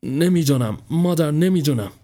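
A man voicing a young soldier son who speaks quietly, sadly and with resignation in a storybook reading. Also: fairly high pitch, expressive, close to an everyday voice. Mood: sorrowful. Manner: subdued, sad and resigned.

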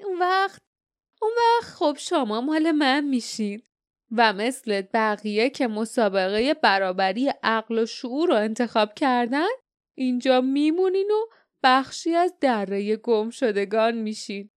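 A woman's voice: on the high side, expressive, smooth, no echo, studio quality, lightly acted. A woman voicing a sly, smug, contemptuous male guardian villain in an audiobook, with languid, mocking menace. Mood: gloating menace. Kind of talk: dramatised audiobook storytelling.

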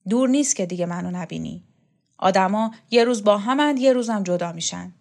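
A woman's voice: medium pitch, expressive, creaky, a little mellow. A woman voicing a blunt, coarse middle-aged strongman who scolds and swears. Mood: gruff tender. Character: tough, blunt middle-aged male strongman, scornful and gruff.

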